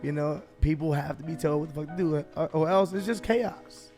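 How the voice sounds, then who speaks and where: A man's voice: on the high side, brisk, dark tone, soft background music. A man co-hosting a podcast in casual comedic chat, blunt and profane, often riffing with acted-out quotes. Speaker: podcast co-host.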